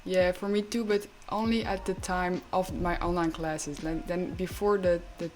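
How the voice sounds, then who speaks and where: A woman's voice: fairly low pitch, fairly even intonation, normal speed, darkish tone, soft background music. A sixteen-year-old young woman from Belgium with a Belgian accent, chatting casually and often saying "like". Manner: frequent "like" as filler. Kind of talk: casual chat.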